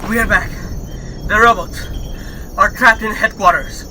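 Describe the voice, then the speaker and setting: Bossy, heroic space captain, played by a man: very high male voice, lively, clear background noise. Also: measured pace. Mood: heroic, self-important. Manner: commanding, melodramatic. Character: vain, theatrical male starship captain, brave and bossy. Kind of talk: sci-fi sitcom audio drama acting.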